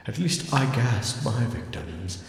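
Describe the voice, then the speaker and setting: Drenched in reverb: a taunting murderous spirit for horror audio, low and swooping. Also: male voice, expressive, creaky, heavily acted. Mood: cruel taunting. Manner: slow, taunting, menacing. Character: sinister, mocking ghostly male murderer haunting a bedroom. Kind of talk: horror audio drama acting. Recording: long echoing reverb.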